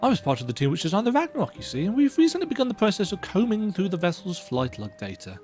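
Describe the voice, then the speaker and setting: A man's voice: sits high, huge pitch swings, fast talker, darkish tone, faint music behind, pitched above the performer's own voice. A man voicing an eager, polite bespectacled engineer who speaks in an effusive, formal way. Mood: excited. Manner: effusive, formal, breathless delight.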